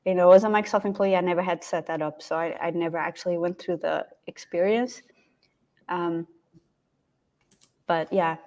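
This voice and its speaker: A woman in her thirties co-hosts a casual live stream from a room at home over a video call. Her voice sits low, and she talks in a chatty way, often restarting her sentences. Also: steady tone, normal speed, pauses often, a little mellow. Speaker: co-host.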